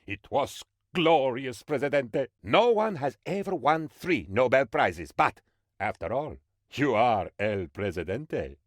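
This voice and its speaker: A pompous, naively enthusiastic henchman who adores his dictator. His mid-pitched voice swings theatrically up and down with eager self-importance. It is a dry, studio-close video game performance of a lovable, slow-witted flatterer. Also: no room sound. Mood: self-important. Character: excitable, pompous, dim-witted sycophantic male aide to a dictator. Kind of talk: video game character voice lines.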